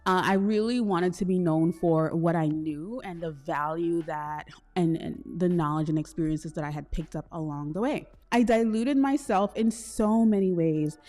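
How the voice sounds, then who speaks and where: A woman from Trinidad hosting a solo podcast, talking in a chatty, expressive way with slangy asides. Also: fairly low pitch, normal speed, hardly any pauses. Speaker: podcast host. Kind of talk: solo podcast, casual self-reflection.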